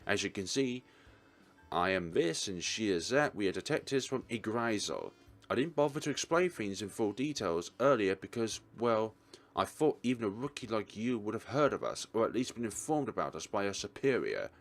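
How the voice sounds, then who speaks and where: A man reading a visual novel aloud as a let's player, with deliberate, theatrical narration. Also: fairly low pitch, big pitch swings.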